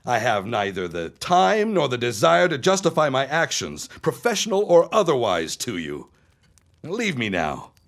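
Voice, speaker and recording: A man's voice: medium pitch, wildly swooping pitch, normal speed, creaky. An actor playing a cold, domineering 1910s businessman husband in an audio drama, brusque, dismissive and commanding. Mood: irritated, condescending. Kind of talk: period audio drama acting.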